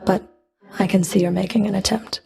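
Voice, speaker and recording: A woman playing a cold, proud Fury of the underworld in a video game, dry and cutting, with a creaky edge to her voice. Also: mid-range voice, fairly even intonation, brisk, warm tone, faint music behind, close to an everyday voice. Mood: guarded, stern. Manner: dry, cutting, haughty, controlled. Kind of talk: video game character voice lines.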